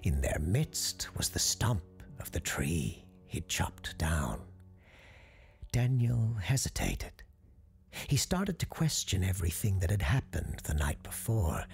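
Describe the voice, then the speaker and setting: A woman narrating a ghost story in a hushed, often whispered delivery. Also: a bass voice, big pitch swings, takes it slowly, soft background music.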